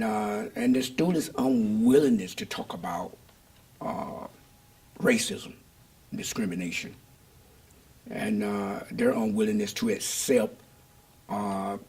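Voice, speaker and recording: An older man answering interview questions in a reflective, measured and deliberate way. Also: medium pitch, creaky, darkish tone, husky.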